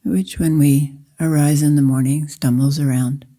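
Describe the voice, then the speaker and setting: A woman meditation teacher guiding a practice in slow, deliberate short phrases with long pauses. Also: low voice, steady tone, warm tone. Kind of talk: guided meditation, slow spoken instruction.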